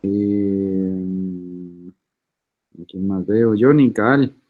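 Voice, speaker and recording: A man's voice: on the low side, extremely slow. A man, a university lecturer taking roll and teaching in an informal, teasing way over a video call. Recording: tinny phone-like call audio.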